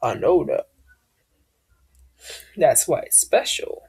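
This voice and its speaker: Slow, hesitant woman with a deep voice. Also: expressive, gravelly, warm tone, breathy edge. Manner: hesitant, searching for words, trailing off. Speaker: vlogger. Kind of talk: casual personal storytelling.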